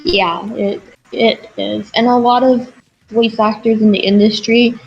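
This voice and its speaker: A woman with a lisp and a very young-sounding voice, chatting fast and casually with lots of "like". Her voice comes through a dull, lo-fi mic. There is a faint hiss under it. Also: fairly high pitch, steady tone. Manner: chatty and quick, with many likes. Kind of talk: casual stream chat.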